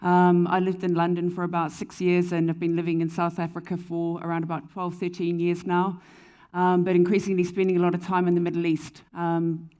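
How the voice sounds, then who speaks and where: A woman from New Zealand speaks as a panelist at a business conference, her accent softened by years abroad. She talks fluently in a low, flat voice, leaning on "um" between points. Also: brisk. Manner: fluent, frequent "um" fillers. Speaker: panelist, company founder. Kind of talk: panel discussion answer. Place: conference stage before an audience.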